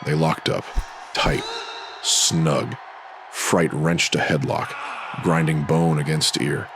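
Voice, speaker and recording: A man narrating a horror anthology in an ominous, measured pulp radio-drama style, in short dramatic phrases. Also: rumbling low, fairly even intonation, background noise. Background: music.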